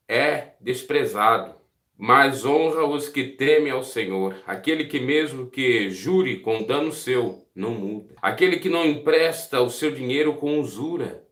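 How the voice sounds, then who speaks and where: A man's voice: medium pitch, a bit bright, echoey room. A man with a Brazilian Portuguese accent giving a devotional Bible teaching, earnest and putting direct questions to his listeners. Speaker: preacher.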